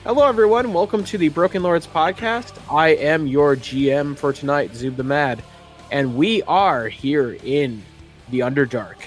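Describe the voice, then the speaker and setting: A man's voice: fairly high pitch, measured pace, faint music behind. A man hosting as game master, narrating a tabletop roleplaying game in descriptive, scene-setting detail. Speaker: game master, podcast host.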